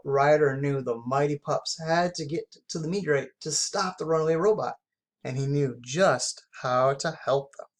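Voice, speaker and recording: Expressive storybook reader, mid-pitched voice. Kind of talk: children's storybook read-aloud.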